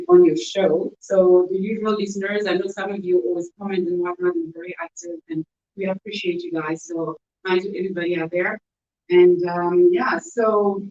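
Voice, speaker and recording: A woman's voice: fairly low pitch, a little mellow. A woman from DR Congo speaking as an interview guest, telling her own story conversationally, often saying "you know" and "whatnot". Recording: echoey, distant, dull poor sound.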